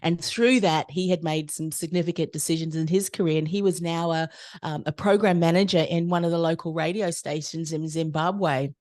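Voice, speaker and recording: A woman's voice: deep voice, steady tone, fairly quick, darkish tone. A woman, a business coach telling her story fluently and at length as a podcast guest. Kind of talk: casual interview chat.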